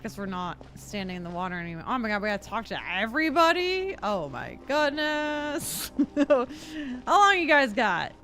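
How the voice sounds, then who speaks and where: A woman's voice: mid-range voice, fairly quick, pushed and bright. A woman game streamer giving casual, playful, slangy and giggly commentary on her playthrough.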